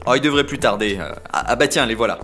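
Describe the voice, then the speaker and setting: A man's voice: medium pitch, lively intonation, fast, pushed and bright, faint music behind. A man playing a chatty, slightly grumbling start-up employee in a comic audio drama.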